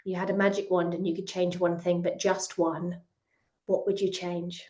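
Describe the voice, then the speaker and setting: A woman hosting a podcast, asking her guest questions in an affirming, unhurried way. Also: fairly low pitch, level tone, a little mellow. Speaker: podcast host. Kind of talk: interviewing a guest.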